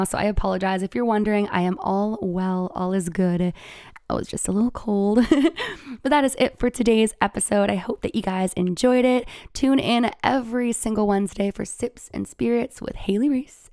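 A woman hosting a podcast solo, talking casually in an earnest, confiding, encouraging way with a slightly raspy voice. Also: mid-range voice, mellow, no room sound, clean studio sound. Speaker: podcast host.

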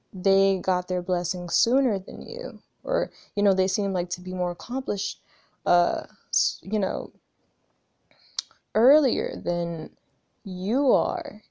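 A woman's voice: lowish voice, gravelly, dark tone, no room sound. A woman of twenty-one talking in a candid, rambling way about her spiritual journey, swearing casually and often restarting her sentences. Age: 20s. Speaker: spiritual vlogger. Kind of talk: casual reflective monologue.

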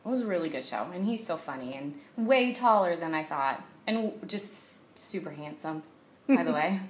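A woman's voice: sits low, expressive, normal speed. A woman co-hosting a podcast in bubbly, playful, jokey casual chat. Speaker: podcast co-host.

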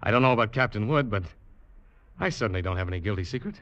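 A man's voice: fairly low pitch, expressive, quick pace. An actor playing an uneasy ship's officer who tells his own suspense tale in old-time radio style. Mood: conversational, guarded. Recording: muffled old radio recording, loud music.

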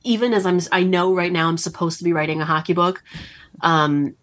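A woman's voice: on the low side, fairly quick. A woman in her thirties from Northern Kentucky, a romance author chatting casually as an interview guest, with lots of ums and likes. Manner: chatty and animated, frequent ums and likes, laughs as she talks.

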